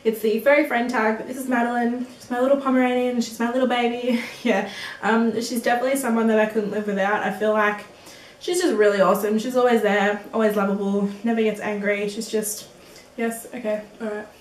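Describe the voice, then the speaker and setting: A woman's voice: on the high side, flat delivery, fairly quick, echoey room, far from the mic. An eighteen-year-old woman answering viewer questions in a casual beauty vlog, chatty and giggly, with lots of ums and likes. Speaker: beauty vlogger.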